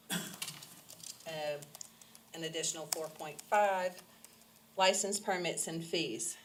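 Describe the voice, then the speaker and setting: A woman presenting a county budget at a public council workshop, measured and formal with frequent ums, with a head cold. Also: deep voice, fairly bright tone. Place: council meeting room. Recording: noisy public meeting recording.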